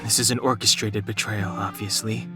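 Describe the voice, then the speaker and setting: A man voicing a calm, guarded young traveler in a video game, measured, sober and understated. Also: on the low side, loud music behind the voice, close to an everyday voice. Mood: dry, annoyed. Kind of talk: restrained video game voice acting.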